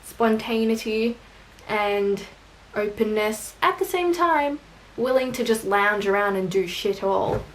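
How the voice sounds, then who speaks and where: A woman's voice: mid-range voice, expressive, slow, poor audio. A young woman with an Australian accent, chatting casually and rambling through questions for her vlog, with self-deprecating jokes. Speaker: vlogger. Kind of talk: casual tag video answering questions.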